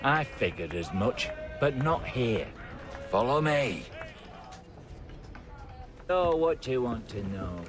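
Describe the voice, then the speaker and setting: An actor playing a wary, uneasy sailor in a video game, guarded and plain-spoken. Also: male voice, medium pitch, big pitch swings, takes it slowly, long pauses, over music. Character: wary, uneasy male sailor sharing what he overheard. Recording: faint background ambient noise.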